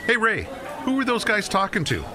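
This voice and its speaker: A man's voice: sits high, huge pitch swings, normal speed, crowd noise. A man playing a boastful, competitive amateur angler in a radio play, cocky and curt.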